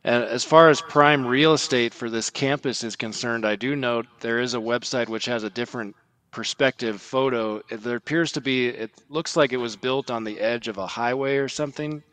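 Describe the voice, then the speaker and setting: A man giving earnest podcast commentary in a measured, explanatory way with formal wording. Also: medium pitch, fairly bright tone.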